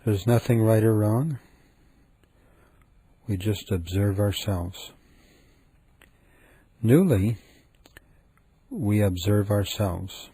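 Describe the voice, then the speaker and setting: A man leading a guided meditation in a gentle, calm, unhurried manner with frequent pauses. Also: on the low side, slightly rough, no echo.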